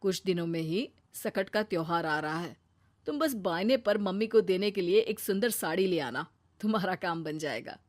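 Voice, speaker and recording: A performer voicing a calm, supportive young husband in an animated moral story, soft and reassuring. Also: mid-range voice, normal speed, voice pushed down, close to an everyday voice.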